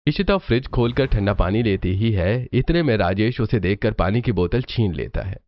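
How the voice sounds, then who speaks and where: Guy narrating a cartoon story, deep-ish and very expressive, dull audio. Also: moderate pace, dry recording.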